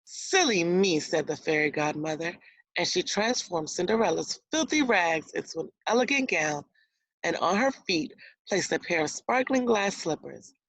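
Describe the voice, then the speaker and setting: Lower-pitched woman reading a storybook aloud. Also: expressive, moderate pace, no room sound, poor audio. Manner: explains words and asks guiding questions. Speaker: elementary school teacher. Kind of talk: read-aloud storybook narration with questions to young children.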